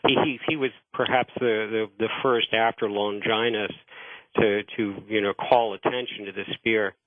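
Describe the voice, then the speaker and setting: A man explaining history as a radio interview guest, halting and repeating words as he starts phrases, over a narrow phone line. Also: on the low side, fairly even intonation, no room sound.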